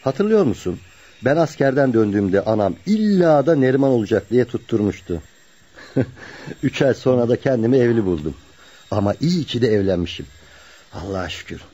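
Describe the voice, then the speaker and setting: An actor playing a friendly, contented married carpenter in a radio play. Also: male voice, medium pitch, lively intonation, fairly quick, dark tone, slightly rough. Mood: amused, contented. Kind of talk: naturalistic radio play dialogue. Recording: dull lo-fi radio play recording.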